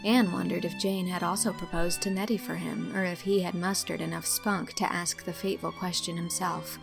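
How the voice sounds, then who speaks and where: A woman reading literary fiction as an audiobook narrator, in an expressive scripted storytelling style. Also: lowish voice, moderate pace, creaky, over music.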